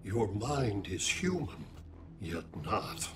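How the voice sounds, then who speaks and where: An actor playing a cold, analytical, superior alien supervillain in a video game. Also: male voice, on the low side, sing-song, slow pace. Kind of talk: video game character dialogue. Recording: game music behind.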